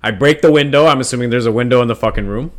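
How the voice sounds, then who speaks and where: A man in casual podcast chat, swearing often and acting out imagined scenes with sudden loud bursts. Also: medium pitch, racing. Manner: animated, swears a lot, acts out scenes in loud put-on voices. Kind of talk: casual chat, hypothetical storytelling.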